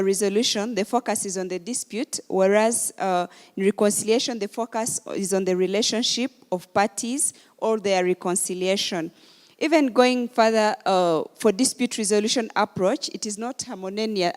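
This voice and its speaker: A woman with a medium-pitched voice takes the floor at a conference. She speaks as an audience member laying out a question, and the big hall leaves long reverb on her words. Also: darkish tone. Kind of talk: spoken question with anecdote from the floor. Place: large conference hall, public address.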